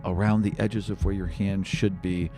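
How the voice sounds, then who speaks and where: A low-voiced man runs a tabletop fantasy game as game master. He moves between vivid, dramatic scene-setting and offhand asides, with music clearly playing behind him. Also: level tone, moderate pace. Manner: vivid, dramatic description mixed with casual asides.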